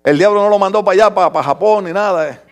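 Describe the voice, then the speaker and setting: A man preaching an animated sermon to a congregation, theatrical and humorous, swinging between murmured asides and drawn-out shouts. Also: sits high, faint music behind. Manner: humorous, mimics people in dialogue.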